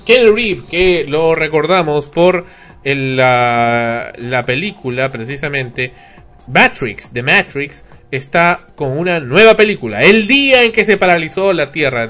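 A man's voice: fairly high pitch, lively intonation, a little slow, pushed and bright. A man co-hosting a casual radio talk show, repeating himself and joking as he goes.

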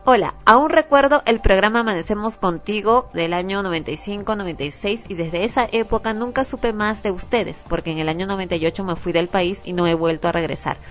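A woman's voice: medium pitch, fairly quick, hardly any pauses, fairly bright tone, faint music behind. A woman co-hosting a radio talk show, laughing easily and reading listener emails in a matter-of-fact way.

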